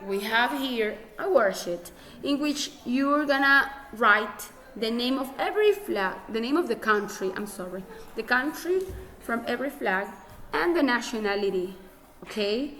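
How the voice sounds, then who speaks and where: A woman's voice: on the high side, animated, a little slow, a bit bright. Patient Ecuadorian English teacher, woman. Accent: Ecuadorian Spanish. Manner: patient, repeats herself, checks with "okay?". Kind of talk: classroom instruction.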